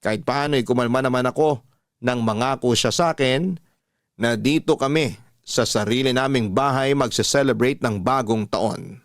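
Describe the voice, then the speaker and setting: A man's voice: mid-range voice, moderate pace, no echo. A man reading a listener's letter aloud in an earnest, first-person storytelling voice for a radio show. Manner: earnest storytelling, addresses the host by name. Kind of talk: scripted first-person narration. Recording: dry studio sound with music bed.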